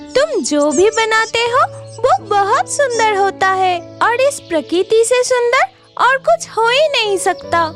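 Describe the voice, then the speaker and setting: A gentle nature goddess from a children's cartoon, acted by a woman, encourages in a very high voice. The delivery is slow and kindly, with music in the background. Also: exaggerated voice. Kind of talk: Hindi children's cartoon dialogue.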